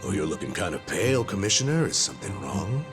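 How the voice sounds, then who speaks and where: An actor playing a sardonic, determined older disgraced cop in a video game drama, with a folksy, taunting way of talking. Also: male voice, low-pitched, big pitch swings, music in the background. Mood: taunting, confident. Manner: taunting, folksy, drawn-out delivery. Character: gruff, sardonic older discharged male cop, smug and determined.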